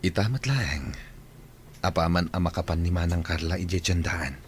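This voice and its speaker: A man's voice: deep voice, moderate pace, slightly rough. A man acting in a radio drama as a domineering businessman who coaxes and bosses a younger woman. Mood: brisk, assuring. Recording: noisy recording with background ambience.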